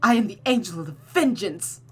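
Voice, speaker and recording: A woman's voice: mid-range voice, expressive, moderate pace, slightly rough, faint music behind, voice pushed up. A woman voicing a seductive, taunting, unhinged female creature of vengeance and desire from a visual novel, in a mocking, cooing, theatrical manner. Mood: devout, vengeful. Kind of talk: visual novel character read-aloud.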